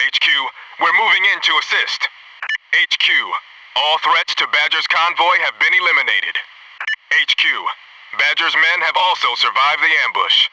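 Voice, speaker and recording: An actor playing a disciplined, dryly wry SEAL in a military shooter game, giving clipped, businesslike reports. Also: male voice, a little high, recorded from a distance, background noise. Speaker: special forces teammate reporting to headquarters.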